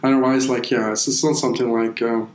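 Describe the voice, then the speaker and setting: A man's voice: medium pitch, level tone, quick pace. A young man from Afghanistan who is a native Persian speaker, chatting casually in an interview and filling his sentences with "like". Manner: rambling, leans heavily on "like" as a filler. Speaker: interview guest. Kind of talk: casual chat. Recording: roomy, echoey room.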